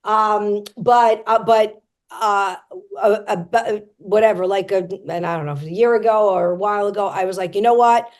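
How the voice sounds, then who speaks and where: A woman's voice: medium pitch, steady tone, normal speed, a little mellow. A woman comedian hosting a podcast interview, chatting in a jokey, self-promoting way. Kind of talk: casual interview chat.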